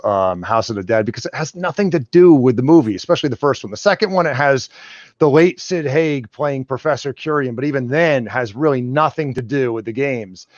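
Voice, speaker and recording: A man's voice: mid-range voice, no room sound. Dull-sounding male guest, casual and rambling. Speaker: guest, filmmaker and movie reviewer. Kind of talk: casual chat, telling anecdotes and opinions. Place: at home on an online call. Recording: dull lo-fi call audio.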